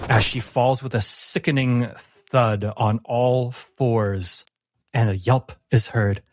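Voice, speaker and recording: A man narrating a tabletop game as game master, telling the story dramatically with deliberate, suspenseful pauses. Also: fairly low pitch, background noise. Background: music.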